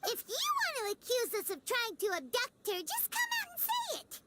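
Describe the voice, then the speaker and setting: A shrill, cheeky childlike companion. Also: female voice, huge pitch swings, normal speed, heavy background noise, full character voice. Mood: indignant. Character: tiny feisty childlike female companion. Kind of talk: game voice acting. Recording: game capture with loud clicking.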